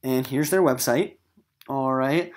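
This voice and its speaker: A man with a mid-pitched voice teaches literature to middle schoolers in a recorded lesson. He talks informally and conversationally, addressing the students directly as he explains what he expects. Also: slow. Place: voice-over on a screen recording of slides.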